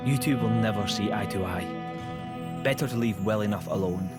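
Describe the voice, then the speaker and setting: An actor playing a dutiful, modest young emperor in a video game, speaking in a measured, formal and polite way. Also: male voice, on the low side, lightly acted. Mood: sincere. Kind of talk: video game cutscene acting. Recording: loud music under the dialogue.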